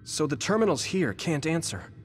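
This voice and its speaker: Earnest young male soldier, thoughtful, slightly high voice, video game, music underneath. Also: big pitch swings, normal speed. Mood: earnest, pondering. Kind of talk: scripted video game voice acting.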